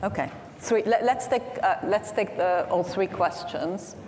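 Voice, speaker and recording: A woman moderating a panel, briskly organizing audience questions. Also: mid-range voice, a little mellow, slight background noise. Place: large conference hall. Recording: long hall reverb, faint ambience.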